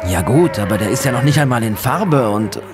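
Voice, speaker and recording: A man's voice: medium pitch, animated, fairly quick, crowd noise, close to an everyday voice. An actor playing a sceptical, watchful man on a night out in a horror audio drama, conversational and wry.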